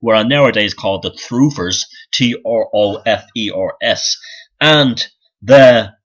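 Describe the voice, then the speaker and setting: A man's voice: lowish voice, measured pace, fairly bright tone, lo-fi sound. A man giving an unscripted, opinionated monologue in a blunt, scornful, sweary manner, often repeating phrases for emphasis as he gropes for the next point. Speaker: YouTube commentator and author.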